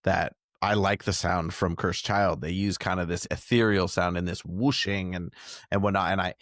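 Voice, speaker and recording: An American man with a fairly low voice hosts a casual podcast discussion. He sounds close and dry, with very little room around him. He talks conversationally, as a host putting questions and opinions to the group. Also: steady tone, normal speed, a bit bright, no echo.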